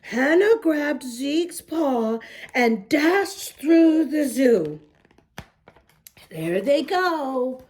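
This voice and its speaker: A woman reading a children's picture book aloud in an expressive, deliberate way, adding little asides for young listeners. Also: sits high.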